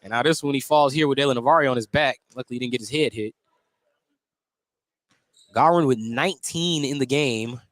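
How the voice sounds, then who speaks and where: A man's voice: medium pitch, a little fast, pauses often, fairly bright tone, no room sound. A man doing live play-by-play basketball commentary on radio, calling each play in clipped, descriptive phrases. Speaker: play-by-play announcer. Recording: noisy live sports broadcast.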